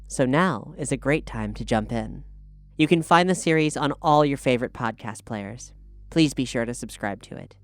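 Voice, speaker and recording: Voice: medium pitch, no room sound. A podcast host giving an earnest, polished, scripted introduction to an audio drama.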